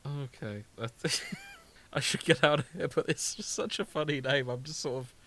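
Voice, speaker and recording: A man's voice: fairly high pitch, moderate pace, a bit bright, a little hiss or hum. A British man co-hosting a podcast in a casual, comedic chat, amused and prone to giggling. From Britain. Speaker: podcast co-host.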